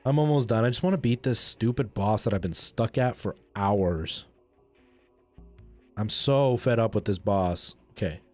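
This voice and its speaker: A man's voice: fairly low pitch, unhurried. Chatty Canadian-raised man streaming over music. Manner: chatty, expressive, repeats himself for emphasis. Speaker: game streamer. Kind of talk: casual live stream chat while gaming. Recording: music playing behind the voice.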